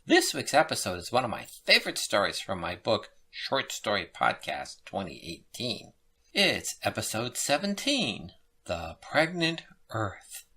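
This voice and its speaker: A woman's voice: extremely low pitch, huge pitch swings, unhurried, bright, forceful tone. A woman hosting a short story podcast, reading scripted narration and tips.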